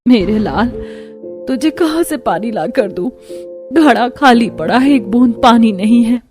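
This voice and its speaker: A woman's voice: on the high side, lively intonation, unhurried, over music. A woman voicing a weary young village wife and mother in a plaintive, dramatic cartoon style. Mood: anguished.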